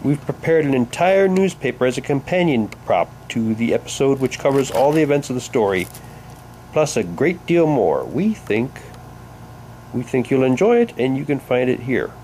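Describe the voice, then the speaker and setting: Guy with a soft dark voice doing a rambly hobby unboxing at home, bit of room noise on the camera mic. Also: medium pitch, sing-song, normal speed, rough recording. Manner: rambling, unscripted, trailing off and repeating himself. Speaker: hobbyist vlogger. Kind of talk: casual unboxing commentary, sometimes reading aloud. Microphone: handheld camera's built-in microphone.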